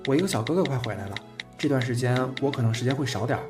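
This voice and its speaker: A man's voice: medium pitch, lively intonation, very fast, over music, close to an everyday voice. A man acting a cheerful, doting young man in a Chinese audio drama, playful and boyish. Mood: tender.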